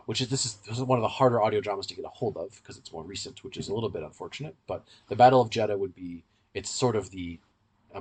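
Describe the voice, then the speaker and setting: A low-pitched man with a somewhat husky voice talks as a podcast co-host. He explains and analyses in a casual way, often correcting himself as he goes.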